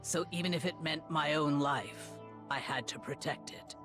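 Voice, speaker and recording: A woman voicing a noble, sorrowful female martial artist in a game, in a deep, grave, deliberate voice. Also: over music, natural voice. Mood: grave confession.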